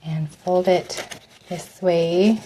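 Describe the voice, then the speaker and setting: A woman with a cold and a slightly raspy voice, chatting through a live step-by-step craft demo, her voice dropping off as she leans away from the mic to work. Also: on the low side, fairly even intonation, extremely slow, noisy background. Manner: chatty, step-by-step instructions with fillers. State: hoarse from a cold. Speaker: craft host.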